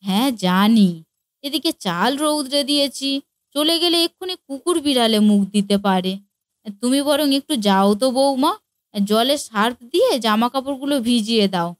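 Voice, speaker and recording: A high-pitched, exasperated mother-in-law acted by a woman in a comic cartoon. She is middle-aged and given to scolding, and the recording is close and dry. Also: animated, moderate pace, dry recording, lightly acted. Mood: brisk.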